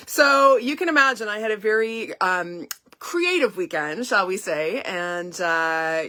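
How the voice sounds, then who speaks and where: A middle-aged woman from Canada hosting a casual live stream, chatty and upbeat, her voice a little hoarse and stuffy from illness. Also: medium pitch, sharp tone. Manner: chatty, upbeat, frequent laughs and ums. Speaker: independent stamping demonstrator hosting a live launch.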